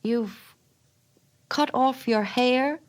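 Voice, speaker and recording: A woman puts on a young husband from a storybook, a little dazed. He talks plainly and tenderly, with a gentle, unhurried manner. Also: high-pitched, voice pushed up. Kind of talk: dramatic storybook reading.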